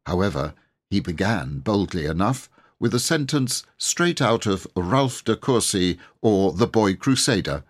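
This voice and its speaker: Husky, animated male storybook narrator. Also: on the low side, measured pace. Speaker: audiobook narrator. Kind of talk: expressive scripted story narration.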